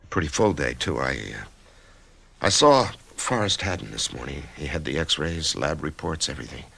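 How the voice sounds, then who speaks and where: An actor in a radio drama playing a nervous office worker who is facing a risky operation, who stammers and breaks into shouts. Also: male voice, very deep voice, big pitch swings, moderate pace, gravelly, breathy edge, exaggerated voice. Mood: tense. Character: anxious male office worker facing surgery. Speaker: actor playing the lead and narrator.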